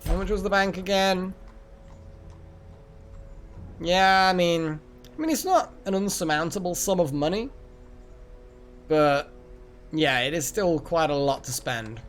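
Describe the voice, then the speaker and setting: A man's voice: sits high, a little slow, pauses often, soft background music. A man hosting a live game stream, chatting casually and rambling as he thinks aloud. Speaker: game livestream host. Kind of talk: casual live gaming chat.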